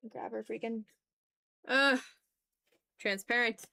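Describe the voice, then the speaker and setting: A woman's voice: on the high side, slow pace, vocal fry, pushed and bright, dry recording. A woman chatting informally on a livestream while she draws, muttering asides to herself as she works. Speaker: art streamer. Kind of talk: casual livestream chat while drawing.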